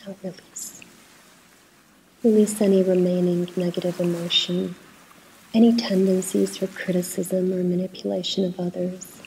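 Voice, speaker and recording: A woman leading a guided meditation in a soft, at times breathy voice, soothing and unhurried, with pauses between short instructions. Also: fairly low pitch, level tone, a little hiss or hum, rough recording.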